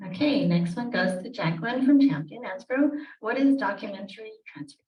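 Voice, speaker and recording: A woman moderating a panel, briskly reading out questions. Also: medium pitch, animated, highs cut off. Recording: echoey room, distant mic.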